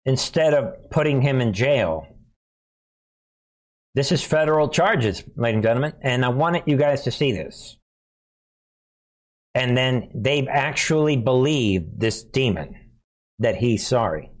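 A man's voice: medium pitch, expressive, measured pace, long pauses. A man hosting an informal commentary show, speaking to viewers in short, preachy, alarmed phrases with sarcastic asides. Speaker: host and commentator.

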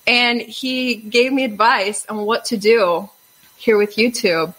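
A woman hosting a casual talk show, lively and quick to laugh. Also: on the high side, poor audio. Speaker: podcast host. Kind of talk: casual chat.

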